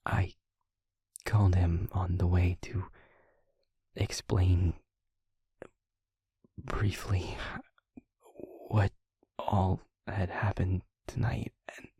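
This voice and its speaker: Casting: a man to play a gentle, protective vampire boyfriend for audio roleplay; low, soft, husky near-whisper, earnest and intimate. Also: little pitch movement, no room sound, full character voice. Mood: grave, confessional. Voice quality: breathy, husky, half-whispered. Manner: slow, halting, tender, earnest delivery. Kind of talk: intimate audio roleplay, ASMR-like scripted acting.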